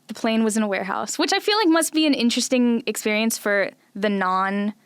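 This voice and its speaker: Chatty woman in her twenties, smooth voice. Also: fairly high pitch, brisk, no echo, clean studio sound. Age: 20s. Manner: chatty, heavy use of "like", animated. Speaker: podcast guest, visual artist. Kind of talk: casual interview chat.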